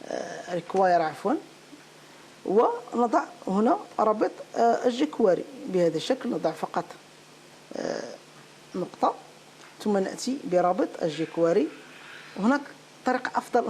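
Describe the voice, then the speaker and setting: Woman instructor, mellow voice, noisy recording. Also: on the low side. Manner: halting, searching for words, repeating phrases. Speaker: programming tutorial instructor. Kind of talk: unscripted step-by-step screencast tutorial. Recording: clearly noisy home-made recording.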